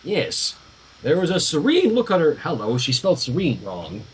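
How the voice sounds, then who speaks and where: A man's voice: a little high, wildly swooping pitch, normal speed, husky, low-quality recording. A man reading a story aloud informally on a livestream, dropping in casual asides. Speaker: livestream fan fiction reader.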